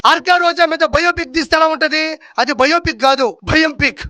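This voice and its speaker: A man's voice: extremely high pitch, fairly even intonation, fast talker, sharp tone, soft background music. A man delivering a combative political tirade in a mocking, abusive, taunting manner.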